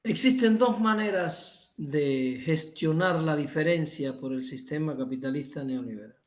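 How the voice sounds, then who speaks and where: A man's voice: a little high, measured pace, roomy sound. A man from Cuba with a Cuban accent, giving an emphatic online lecture over a video call, restating his points and correcting himself often. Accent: Cuban Spanish.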